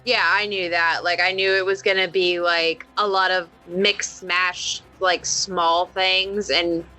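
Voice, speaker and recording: Bright sounding girl playing a tabletop rpg, animated and sweary, casual chat, bit of music behind. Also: on the low side, slow pace, rough recording. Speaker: player in a tabletop roleplaying game. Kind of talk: casual improvised game chat among friends.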